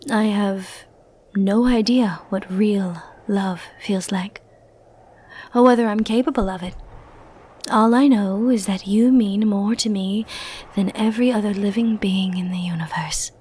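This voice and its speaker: A woman playing a powerful, flirty and possessive sorceress in an ASMR roleplay, speaking softly and intimately with theatrical, teasing, condescending turns. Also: medium pitch, measured pace. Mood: tender, intense. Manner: soft, intimate, teasing, theatrical delivery with breathy murmured asides.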